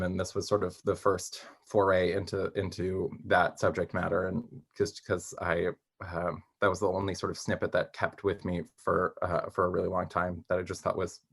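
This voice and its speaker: A man's voice: sits low, vocal fry, a bit bright, slightly rough. A man answering interview questions as a playwright, casually rambling and thinking aloud with hesitations.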